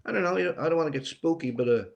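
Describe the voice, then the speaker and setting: An older man from Newfoundland, a writer being interviewed, speaking reflectively with pauses and repeated words for emphasis. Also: a little high, expressive, vocal fry, highs cut off. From Newfoundland, Canada.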